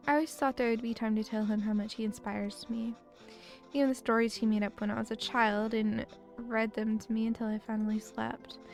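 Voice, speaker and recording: A woman with a weak voice reading the lines of a remorseful grown son in a story game, in a slow, quiet, confessional way. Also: on the high side, vocal fry. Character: young adult son, guilt-ridden writer.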